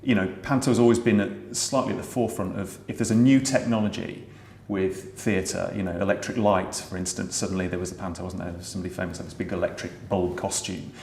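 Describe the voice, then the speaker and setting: A man's voice: low-pitched, steady tone, fast talker, vocal fry, a little mellow, a little hiss or hum. A British man, a pantomime writer and director, talking casually and thoughtfully in an interview, often restarting his sentences. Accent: British English. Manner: thoughtful, many small restarts. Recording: roomy echo.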